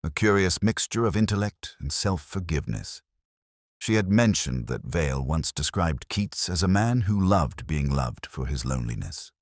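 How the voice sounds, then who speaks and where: A man narrating a first-person detective story in a measured, literary, reflective way. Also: low voice, no room sound, clean studio sound.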